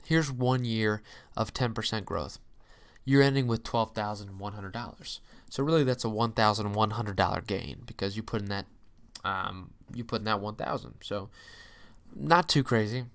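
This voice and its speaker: Clear-voiced emphatic young man explaining. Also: on the low side, moderate pace. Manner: emphatic, folksy exclamations, rhetorical questions. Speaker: host of an investing channel. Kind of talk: informal explainer, walking through a calculator.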